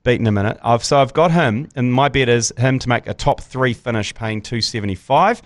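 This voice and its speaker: Laid-back New Zealand man, hoarse. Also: medium pitch, fairly quick, dry recording. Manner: laid-back, rambling, slangy. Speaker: podcast host. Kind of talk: casual sports chat.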